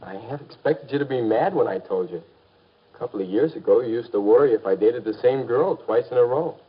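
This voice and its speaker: A man plays an earnest American teenage boy in a 1950s instructional film. His voice is slightly rough and his manner polite and mild. The recording has a muffled vintage soundtrack. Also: medium pitch, fairly quick, close to an everyday voice. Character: earnest, polite teenage son and high-school boyfriend. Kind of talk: 1950s educational film acting.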